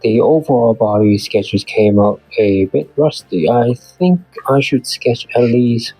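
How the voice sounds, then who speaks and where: A man's voice: medium pitch, a little slow, dark tone, husky, dry recording, faint music behind, rough recording. A man hosting his own channel, giving a plainly read, earnest weekly progress recap while his voice is still off from a flu. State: voice off from recovering flu. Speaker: beginner artist and channel host. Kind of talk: scripted weekly progress narration.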